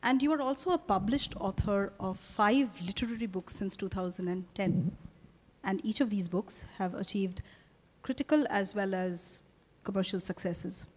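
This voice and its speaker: A middle-aged woman with an Indian accent, conducting a formal on-stage interview in an articulate, measured way. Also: medium pitch, a little mellow, a little hiss or hum. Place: hotel ballroom stage. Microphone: handheld microphone. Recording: echoey ballroom with long reverb.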